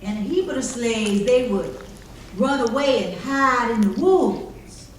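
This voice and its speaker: Slow-paced woman as a formerly enslaved storyteller. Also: a little high, expressive. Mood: somber. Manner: folksy dialect, measured storytelling. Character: formerly enslaved person recalling plantation life, earnest, plainspoken. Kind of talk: readers theater monologue performed on stage. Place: church hall. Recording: distant mic, very echoey hall, faint noise.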